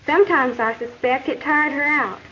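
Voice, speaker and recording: A young woman playing an earnest high school girl with an American accent, narrating a 1950s educational film in a conversational way. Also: normal speed, a bit bright. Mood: earnest, confiding. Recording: muffled, noisy 1950s film soundtrack.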